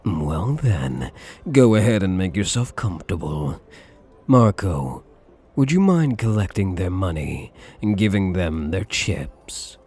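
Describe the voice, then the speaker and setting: A man playing a suave, possessive gambler in an intimate ASMR roleplay, flirtatious and quietly menacing, with a breathy voice and teasing, drawled pet names. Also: low-pitched, big pitch swings, slow pace, exaggerated voice. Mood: smooth welcome, then calm authority.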